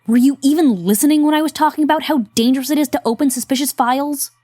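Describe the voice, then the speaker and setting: A woman voicing an exasperated young woman in an audio drama who scolds her friend with a sarcastic, protective edge. Her voice sits mid-range with a mellow tone, and it is recorded dry and close. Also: sits high, fast talker, dry recording, soft background music, natural voice.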